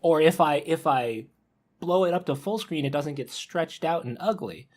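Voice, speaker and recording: A man's voice: on the high side, animated, moderate pace. A man talking casually on a podcast, conversational and given to acting out what people might say.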